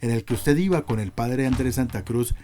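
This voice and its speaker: An actor playing a priest who questions a witness formally in an audio drama. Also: male voice, mid-range voice, normal speed, warm tone, lightly acted. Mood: probing. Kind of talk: audio drama courtroom acting.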